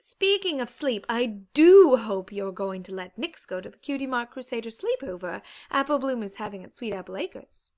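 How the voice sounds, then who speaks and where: A woman's voice: fairly high pitch, expressive, moderate pace, few pauses, a little mellow. A woman voicing a refined, caring, insistent female pony with a genteel, theatrical manner in an audiobook. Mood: politely advising. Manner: genteel, polite, theatrical phrasing. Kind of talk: dramatized audiobook character acting.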